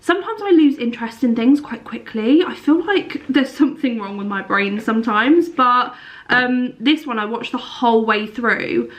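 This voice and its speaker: A woman's voice: fairly high pitch, lively intonation, moderate pace. A young woman chatting casually to camera at home for her vlog, sounding congested and sniffly from a cold. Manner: chatty, rambling. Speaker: lifestyle vlogger.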